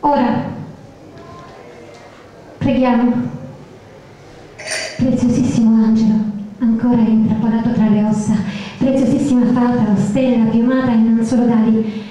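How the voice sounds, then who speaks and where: A woman's voice: a little high, little pitch movement, measured pace, long pauses, warm tone. A woman performing a dramatic spoken-word poetry recital in an incantatory, rhythmic and insistently repetitive manner. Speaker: poet performer. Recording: very echoey, reverberant sound.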